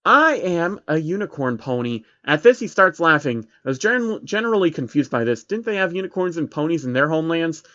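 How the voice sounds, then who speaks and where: A man's voice: a little high, expressive, fast talker, few pauses, fairly bright tone, highs cut off. A man narrating an amateur story reading as the narrator of a piece of fan fiction.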